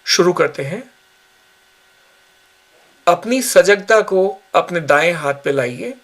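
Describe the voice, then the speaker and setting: A man guiding a yoga nidra relaxation session with slow, calm, measured instructions. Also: high voice. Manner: slow, calm, measured guidance with long pauses. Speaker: yoga teacher.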